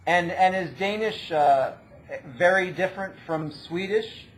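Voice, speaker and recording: A man interviewing a guest, asking halting questions and cheering her on with short replies. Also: sits high, a little hiss or hum, poor audio. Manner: encouraging, quick short acknowledgements, hesitant questions.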